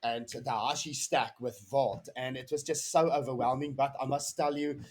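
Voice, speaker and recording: Husky South African man, rambling storyteller. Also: medium pitch, steady tone, moderate pace. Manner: rambling, earnest, with many restarts and "you know". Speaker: podcast guest. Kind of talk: casual interview chat.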